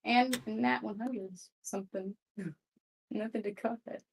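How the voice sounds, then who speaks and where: A woman's voice: mid-range voice, steady tone, slow pace, creaky, husky, rough recording. A woman chatting casually as a player in a tabletop roleplay game.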